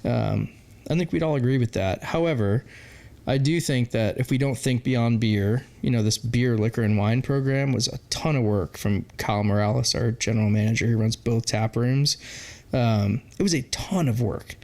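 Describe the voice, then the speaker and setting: A man's voice: mid-range voice, normal speed, creaky, darkish tone. A forty-year-old man answering interview questions as a brewery founder, candid and rambling, with lots of fillers and laughs. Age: 40s.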